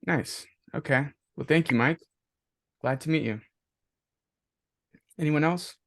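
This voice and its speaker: A man's voice: on the high side, takes it slowly, no room sound, clean studio sound. A man leading introductions at the start of an online class, giving short friendly replies over a video call. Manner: friendly, brief acknowledgments. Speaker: course instructor.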